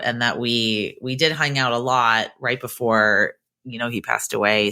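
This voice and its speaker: Low-voiced bright podcast host woman. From Boston area. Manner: upbeat, enthusiastic. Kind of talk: podcast interview and intro.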